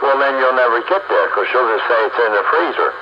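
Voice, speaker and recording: Middle-aged or older man, average pitch, folksy casual talker, heard through dull radio audio with clear noise. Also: expressive, fast. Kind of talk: casual chat. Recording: muffled, noisy two-way radio transmission.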